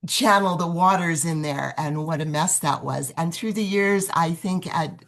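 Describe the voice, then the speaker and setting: A woman's voice: on the low side, unhurried. An older woman, a longtime nonprofit executive director, recounting past experiences in a reflective way on a video call. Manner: reflective storytelling.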